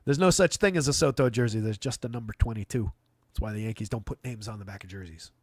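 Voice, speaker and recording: A man's voice: lowish voice, fast, darkish tone, no room sound. A man hosting a live fantasy basketball stream, talking through analysis off the cuff in a conversational, dry way. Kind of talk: live unscripted sports analysis with chat replies.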